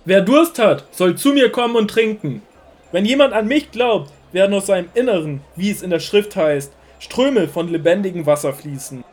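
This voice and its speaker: A man playing an earnest, commanding male teacher preaching in an audio drama, declamatory and deliberate. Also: sits high, expressive. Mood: proclaiming.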